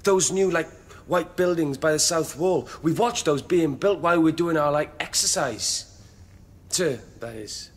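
An actor playing a sly, cheeky young prisoner who feigns humble piety in slangy speech, in a radio drama. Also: male voice, measured pace, slightly rough. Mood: eager, probing. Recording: echoey hall with rumbling background noise.

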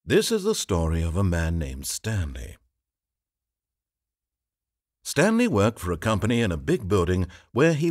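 An actor voicing a game's male narrator, speaking in a measured, wry and theatrical way. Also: low voice, darkish tone, breathy edge, no echo, heavily acted. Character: dry, wry male storybook narrator of a game. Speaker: game narrator. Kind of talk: scripted narration. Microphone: studio microphone. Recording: dry close studio recording.

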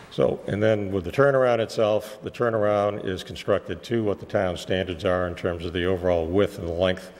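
A man with a cold, losing his voice, presenting a technical site plan to a planning board in a measured way with many "uh" fillers. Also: sits low, in a large hall. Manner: measured and explanatory, with frequent "uh" fillers. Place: town meeting room.

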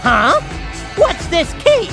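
A man's voice: very high-pitched, huge pitch swings, slow, creaky, heavily acted. A man voicing a cocky, hot-tempered young hawk who leads a band of thieves in a video game cutscene. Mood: guarded. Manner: arrogant, impatient. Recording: music behind the voice.

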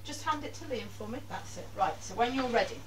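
A woman's voice: a little high, lively intonation, quick pace. An older British woman casually giving children step-by-step instructions in a directive way, often checking that they follow. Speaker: grandmother teaching children to bake. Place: small domestic kitchen. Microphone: handheld camera a few feet away. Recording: distant camera mic, some background noise.

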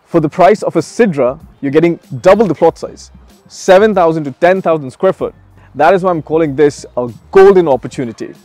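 Higher-pitched man pitching property over music. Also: fairly quick, mellow, no echo. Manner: emphatic, salesy, rhetorical questions. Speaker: real estate agent presenting a property pitch. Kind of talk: promotional presentation to camera.

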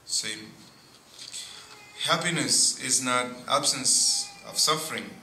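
Slow, bright-toned preaching priest in church. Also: male voice, mid-range voice. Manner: slow, deliberate preaching with pauses and frequent "see". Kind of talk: sermon. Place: church sanctuary at a pulpit. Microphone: boom mic at pulpit. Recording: echoey church with faint noise.

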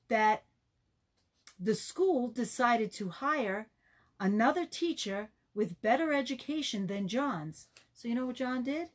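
A woman reads a story for children at an unhurried pace. Her delivery is expressive, stressing key words and turning to her young listeners with questions. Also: fairly high pitch. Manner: animated emphasis, addresses young listeners.

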